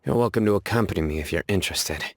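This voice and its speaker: A man's voice: sits low, racing. A man voicing a stern, serious male judge in a video game, speaking in a terse, matter-of-fact way.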